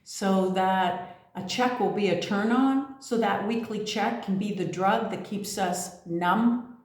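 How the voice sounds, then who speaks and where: A woman's voice: medium pitch, takes it slowly, darkish tone. A woman giving an impassioned, profane monologue as a spiritual coach, firing off rhetorical questions and swearing freely. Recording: very echoey room.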